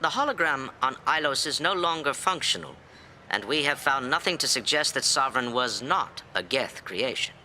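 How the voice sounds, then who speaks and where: A man's voice: medium pitch, animated, moderate pace, bright, forceful tone, slight background noise. An actor playing an exacting, suspicious male alien councilor in a video game, speaking formally and precisely.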